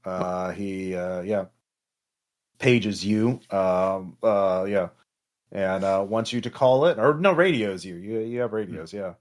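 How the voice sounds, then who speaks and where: A man narrating as game master of a tabletop roleplaying game, in a halting, improvised way. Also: deep voice.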